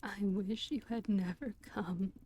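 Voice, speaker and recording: A woman whispering the lines of a bitter, tearful middle-aged woman outlaw in a storybook reading, sharp and reproachful. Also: medium pitch, slow, husky.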